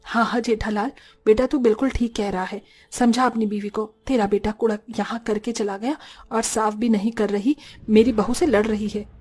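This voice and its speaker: A woman's voice: medium pitch, steady tone, normal speed, a little mellow, husky, pitched below the performer's own voice, close to an everyday voice. A woman voicing a nosy, combative older mother-in-law in a cartoon story, with an accusing, quarrelsome manner.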